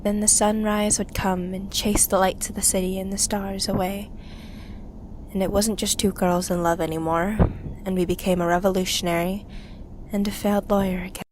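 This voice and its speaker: A woman playing a weary, grieving young survivor of a revolution in an audio drama, speaking in a wistful, halting, confessional way. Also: medium pitch, flat delivery, a little mellow, slight background noise, close to an everyday voice, poor audio. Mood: tender, longing. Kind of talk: intimate audio drama monologue.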